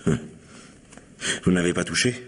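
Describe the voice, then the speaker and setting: An actor playing a sceptical, insistent police investigator questioning a witness in an old French radio mystery. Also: male voice, sits low, normal speed. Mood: brisk questioning. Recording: long hall-like reverb.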